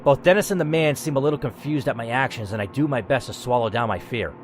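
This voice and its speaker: A man's voice: mid-range voice, fast, a little hiss or hum. A man hosting a gaming video, narrating a text adventure theatrically, with casual, blunt, sweary asides.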